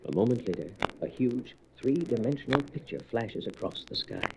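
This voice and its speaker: A man's voice: medium pitch, sing-song, normal speed, gravelly. A man narrating a scripted comic book story in dramatic style. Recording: old record with faint noise, clicks.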